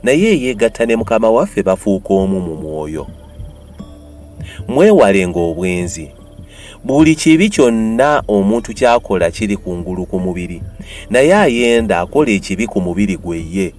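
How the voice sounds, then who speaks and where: Man, Ugandan accent, low pitch, lively intonation, emphatic dramatized Bible reading, faint music behind. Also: normal speed. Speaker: Bible reader.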